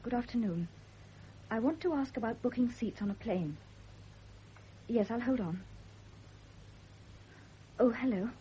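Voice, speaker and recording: An actress playing an anxious, devoted young wife in a vintage radio drama. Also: female voice, a little high, lively intonation, measured pace, long pauses, warm tone. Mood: polite, composed. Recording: dull, hissy vintage radio recording.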